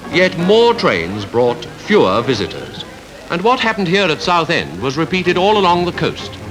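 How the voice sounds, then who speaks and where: A man with a British Received Pronunciation accent reading scripted 1950s newsreel narration in a formal, measured, rhetorical way. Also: a little high, poor audio. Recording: 1951 archive newsreel with music behind.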